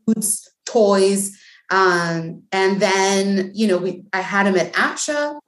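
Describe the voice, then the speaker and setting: A woman's voice: mid-range voice, takes it slowly. A woman from Mexico, a guest on a podcast, talking casually with lots of "like" and "um" fillers. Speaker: guest, bilingual speech language pathologist. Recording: echoey room.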